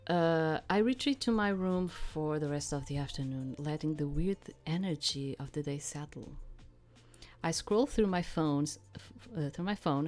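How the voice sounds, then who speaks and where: A woman's voice: deep voice, darkish tone. A woman streaming a game, reading its text aloud and adding casual, rambling commentary as she searches for words.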